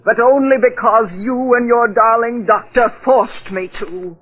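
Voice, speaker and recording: A woman's voice: on the high side, fairly even intonation, a little slow, breathy edge. An actress playing a wronged, embittered wealthy older woman in 1940s radio melodrama style. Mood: bitter, hurt. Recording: muffled old radio broadcast recording.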